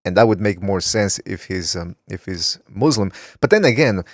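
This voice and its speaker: A man with a fairly low voice hosts a loose, rambling podcast and keeps reading listener comments from the chat room aloud. His voice sounds close and dry, with no room behind it. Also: expressive, moderate pace, dry recording. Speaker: podcast host. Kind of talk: casual talk show chat.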